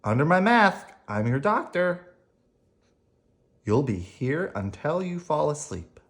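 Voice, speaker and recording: Storyteller putting on cheery storybook hospital worker, caring, sing-song, lifted pitch, animated. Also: takes it slowly. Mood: reassuring. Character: cheery hospital staff, calling out and reassuring a child.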